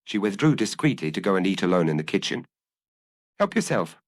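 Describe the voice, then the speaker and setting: A man's voice: sits low, animated, fast, no room sound. A man narrating a detective story as a scripted audiobook reading.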